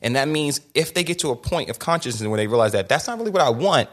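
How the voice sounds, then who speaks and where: A young man hosts a candid sit-down conversation, speaking very quickly. He pushes his points hard, stumbling into restarts and doubled words as his thoughts race ahead. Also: medium pitch, expressive. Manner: rapid, emphatic, with many restarts and repeated words. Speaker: podcast host.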